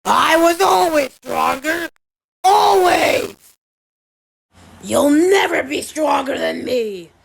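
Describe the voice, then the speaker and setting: Gravelly, taunting killer monster, voiced by a woman. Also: high voice, animated, takes it slowly, no room sound, full character voice. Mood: furious boasting. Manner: mocking, menacing, shouted taunts. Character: taunting, boastful, bloodthirsty speed-obsessed killer monster. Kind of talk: video game character voice lines.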